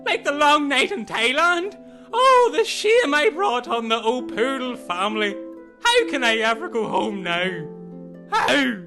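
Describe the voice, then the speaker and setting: A man's voice: very high-pitched, very animated, a little slow, sharp tone, soft background music, full character voice. A man playing a melodramatic, traumatised castaway in a comedy audio drama, hammy and wailing. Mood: hysterical. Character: melodramatic, traumatised castaway bloke wailing over shameful memories.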